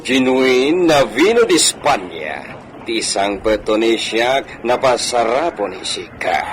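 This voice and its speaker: A wealthy, well-mannered hacienda gentleman from a radio drama. His speech is slow and formal with widely swinging intonation, sprinkled with Spanish courtesies. Faint noise sits behind the voice. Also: medium pitch, big pitch swings, poor audio. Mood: genial. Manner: formal, measured delivery peppered with Spanish. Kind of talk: Ilocano radio drama acting.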